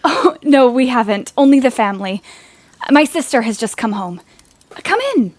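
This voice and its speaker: Woman playing a spirited, high-voiced young period heroine. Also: expressive, normal speed, no echo. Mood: eager, welcoming. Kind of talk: period audio drama acting.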